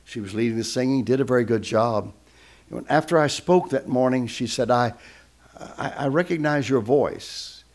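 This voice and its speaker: A man's voice: medium pitch, normal speed, darkish tone. A man who is a guest speaker, telling a story in a sermon and acting out the voices of the people in it.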